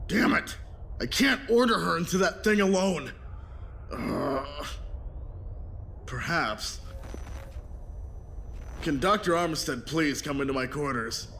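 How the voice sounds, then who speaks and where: A man's voice: on the high side, expressive, slow pace, gravelly, long pauses, bright, forceful tone, slight background noise, exaggerated voice. A man playing a brooding, careworn ship captain in an audio drama. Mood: angry, frustrated.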